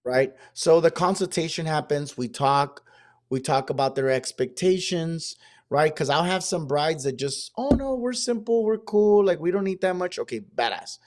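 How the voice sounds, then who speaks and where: A man's voice: fairly high pitch, expressive, normal speed, a little mellow. Laid-back slangy wedding photographer man. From Mexico. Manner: laid-back, slangy, says dude and bro. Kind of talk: casual podcast chat.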